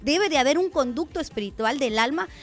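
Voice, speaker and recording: A woman's voice: high-pitched, lively intonation, a little fast. A woman pastor giving a conversational radio sermon, often addressing listeners as brother.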